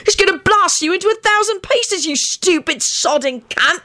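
A woman voicing a brash, vain, hot-headed young man in an audiobook story, loud and cocky. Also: high-pitched, huge pitch swings, moderate pace, no echo, voice pushed up, heavily acted. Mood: angry.

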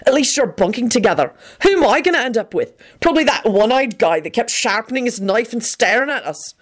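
A woman voicing an anxious, excitable and irritable young man in a story, urgent in manner. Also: fast talker. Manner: urgent, high-strung.

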